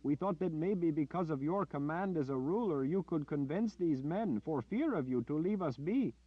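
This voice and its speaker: A man's voice: lively intonation, moderate pace, no echo. A man voicing a desperate male villager who pleads. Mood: pleading. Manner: pleading, high. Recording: dull dry lo-fi audio.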